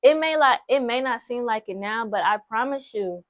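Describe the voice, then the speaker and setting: A woman's voice: fairly high pitch, animated, normal speed, no room sound. Chatty nineteen-year-old woman, dry close sound. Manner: chatty, repeats herself for emphasis, lots of "like" and "so, yes"; encouraging, speaks straight to the viewer. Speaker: vlogger. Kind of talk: casual advice talk to camera.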